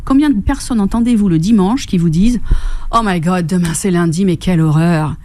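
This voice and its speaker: A middle-aged woman from Corsica, a fitness coach speaking as a radio guest, enthusiastic and quick to laugh. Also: fairly low pitch. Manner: enthusiastic, laughs often, animated storytelling with quoted voices. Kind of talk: radio interview. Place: radio studio.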